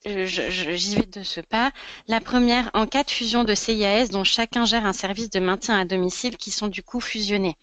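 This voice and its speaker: A woman with a smooth, mid-pitched voice moderates an online conference. She is courteous as she hosts and relays questions, recorded close and dry. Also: no room sound. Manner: courteous, polite. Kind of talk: hosting and reading out audience questions.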